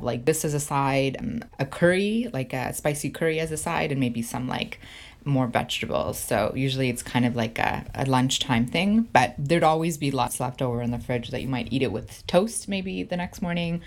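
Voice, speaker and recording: A middle-aged woman with a low voice speaks as a guest in a relaxed interview. Her talk is informal and explanatory, in the loose phrasing of casual conversation. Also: moderate pace. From Canada, born in Sri Lanka. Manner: informal, explanatory, frequent 'like' hedging. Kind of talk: casual chat and cooking walkthrough.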